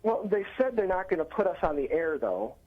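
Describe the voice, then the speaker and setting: Husky guy on a phone line, sounds tinny, nervous and defensive. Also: high-pitched, big pitch swings, fairly quick, faint music behind. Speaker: caller. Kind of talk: phone call on radio.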